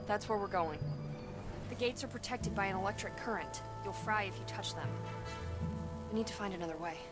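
An actress playing a resourceful, technically skilled young woman in a video game, giving brisk instructions. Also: medium pitch, lightly acted. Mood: focused, instructive. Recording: loud music behind the voice.

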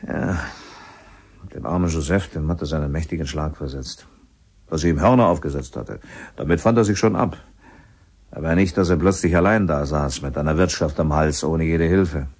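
An actor playing a middle-aged, gossipy widowed helper at a mountain lodge, chatting in a rambling, resigned way in a radio play. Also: male voice, very deep voice, moderate pace, a little mellow, slightly rough, exaggerated voice. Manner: chatty, rambling, plain-spoken. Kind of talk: radio play acting, conversational.

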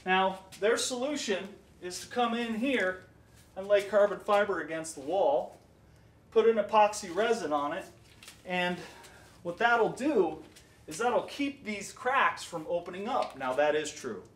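A man's voice: high voice, lively intonation, measured pace, a bit bright, reverberant, far from the mic. A man casually walking through how he repaired his basement walls, explaining plainly and restarting his sentences as he goes. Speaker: homeowner doing a DIY repair.

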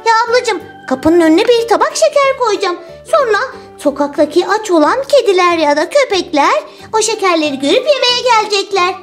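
A woman's voice: extremely high pitch, expressive, a little fast, faint music behind. A woman voicing an eager, whiny little girl who pleads and complains in a children's toy story. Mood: pleading.

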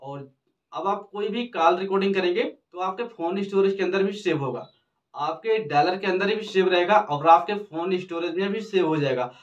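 A young man hosting a phone tutorial, walking viewers step by step through the settings and repeating each step. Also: high voice. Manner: steady explanatory, repeats steps, addresses viewers as friends. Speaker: tech tutorial host. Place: small indoor room with blue cloth backdrop. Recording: distant, dull lo-fi sound.

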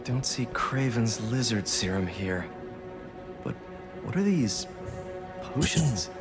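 A man's voice: medium pitch, very animated, slow, over music. An actor playing a corny, wisecracking young male hero in a video game, jokey and quippy. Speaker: game character.

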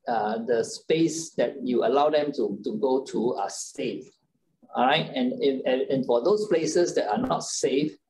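A man's voice: mid-range voice, gravelly, dark tone. An older Singaporean man on an online panel, explaining step by step and checking in with short tag words. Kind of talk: unscripted panel answer. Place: indoors, on a video call. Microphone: video call microphone. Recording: noisy video call with room ambience.

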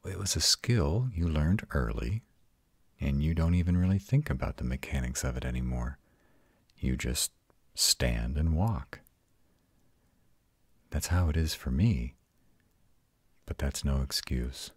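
Man acting very deep, husky vampire lover. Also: expressive, no echo, full character voice. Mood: tender, apologetic. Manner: soft, intimate, slow reassurance. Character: ancient arrogant male vampire tenderly comforting his mate. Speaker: voice actor. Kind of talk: intimate roleplay audio drama.

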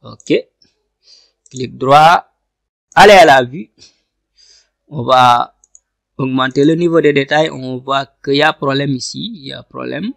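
A man giving a screen-recorded software tutorial, talking through each click step by step and often repeating himself. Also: medium pitch, a little slow, gravelly, long pauses, no room sound. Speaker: software instructor. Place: at a computer, voice over screen capture.

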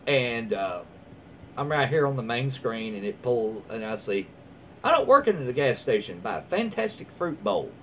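A man's voice: medium pitch, big pitch swings, normal speed, fairly bright tone. Guy reading stories n rambling along, real up and down voice, kinda muffled w background noise. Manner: folksy, rambling, easygoing. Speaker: host reading Reddit stories aloud. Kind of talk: casual reading with off-the-cuff commentary. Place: small room at home, indoors. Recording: dull lo-fi sound with clear background noise.

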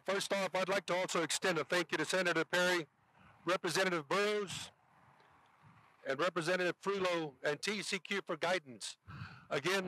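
Older man, high bright voice. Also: low-quality recording. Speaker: city councilman. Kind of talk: prepared public remarks. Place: outdoors in an open field. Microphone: podium microphone.

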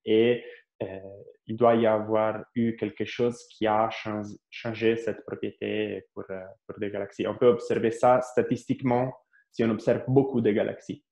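A man's voice: fairly low pitch, darkish tone. A young Italian man, an astrophysics researcher speaking French as a guest on a video call interview, hesitant, with many fillers and restarts. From Italy. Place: small room at home, plain wall. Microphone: earphone headset mic on video call. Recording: roomy, dull video call audio.

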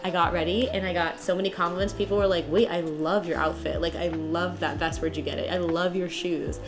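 A woman's voice: on the low side, fairly even intonation, brisk, music in the background. A woman in her late twenties talking to the camera at home in a casual, reflective vlog, with many "like" fillers. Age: 20s. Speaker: vlogger. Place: at home indoors.

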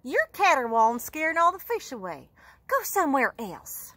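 A woman's voice: high voice, big pitch swings, moderate pace, fairly bright tone, no echo, pitched above the performer's own voice. A woman voicing a grumpy older brother, scolding in an exasperated tone. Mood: irritated.

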